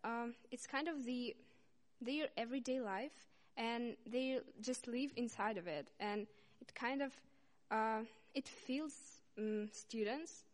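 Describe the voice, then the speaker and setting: Young woman from Russia, student speaker; halting, pausing often, slightly high voice, reverberant hall. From Russia, Saint Petersburg. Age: young adult. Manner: halting, many uhs, apologizes and restarts. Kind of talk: informal speech to a congregation.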